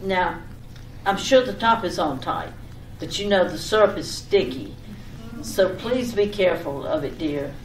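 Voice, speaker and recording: A woman's voice: fairly low pitch, expressive, measured pace. A woman playing a fussy, scatterbrained wife in an old-time radio comedy sketch, giving repetitive, over-detailed instructions. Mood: fussy, chatty. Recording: echoey room, distant mic, faint noise.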